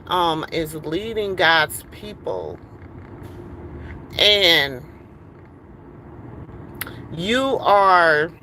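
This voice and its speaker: A woman's voice: fairly low pitch, pushed and bright, a little hiss or hum, rough recording. A middle-aged woman ranting on a casual livestream from a car, heated and rambling with many fillers. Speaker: livestream host.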